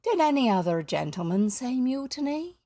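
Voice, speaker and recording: A woman's voice: fairly low pitch, expressive, slow, vocal fry, no room sound, studio quality, exaggerated voice. A woman voicing a villainous male pirate captain in a storybook, theatrical and menacing, with mock courtesy. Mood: sinister courtesy. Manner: purring, steely threats and thundering outbursts.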